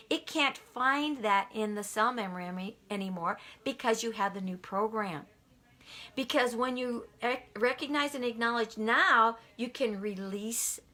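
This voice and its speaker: A woman's voice: mid-range voice, pushed and bright. A woman giving a motivational talk, emphatic and repeating key lines for effect. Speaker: motivational speaker.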